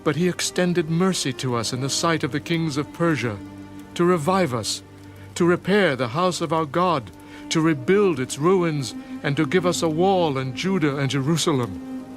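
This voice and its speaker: An actor playing a penitent Hebrew priest at prayer in a dramatised scripture reading, pleading solemnly in measured phrases. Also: male voice, a little high, natural voice. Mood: sorrowful pleading. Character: penitent male Hebrew priest praying in anguish. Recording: music behind the voice.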